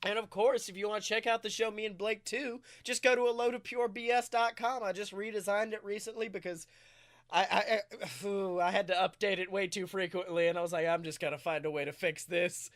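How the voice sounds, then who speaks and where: Bright, higher-pitched male podcaster. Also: brisk. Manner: excitable, jokey storytelling. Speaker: podcast co-host. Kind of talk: animated casual podcast chat.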